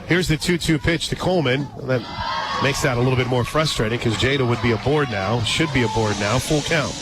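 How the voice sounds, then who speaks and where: A man's voice: medium pitch, fairly quick, rough recording. A man doing live radio play-by-play of a sports game, with conversational asides between calls. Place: outdoor ballpark radio booth. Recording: crowd and music behind the voice. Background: loud crowd, music.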